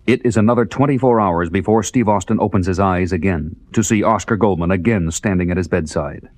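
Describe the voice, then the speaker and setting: A man's voice: low-pitched, a little fast, darkish tone. A man narrating a 1970s audio drama with dramatic, emphatic storytelling.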